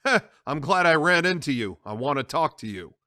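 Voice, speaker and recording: A man's voice: fairly high pitch, animated, brisk, pushed and bright, no echo. Oily, menacing gangster, done by a man, slightly raised voice, dry recording. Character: smooth, menacing male gangster.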